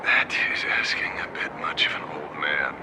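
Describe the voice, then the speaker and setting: An actor voicing a wily older agency boss in a video game, smooth-talking and theatrical. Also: male voice, extremely low pitch, big pitch swings, normal speed, heavy background noise. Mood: wry, weary. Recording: game music underneath.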